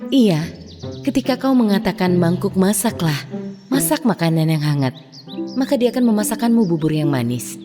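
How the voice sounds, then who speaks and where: A woman voicing a kind young fairy in a cartoon, speaking in a gentle, sing-song way as she gives instructions. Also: mid-range voice, animated, normal speed, natural voice. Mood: kindly. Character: magical young female fairy, kind and gracious. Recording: music behind, long reverb.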